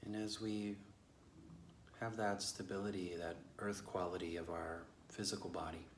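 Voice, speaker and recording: A man leading a guided meditation as a teacher, speaking gently and slowly with long pauses. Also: deep voice, little pitch movement. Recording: echoey room with faint background noise.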